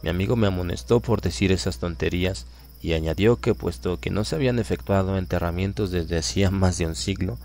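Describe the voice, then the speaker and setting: A man with a Latin American Spanish accent reading a literary horror story aloud in a measured, grave manner. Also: low voice, a little mellow. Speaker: audiobook narrator. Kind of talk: scripted literary narration.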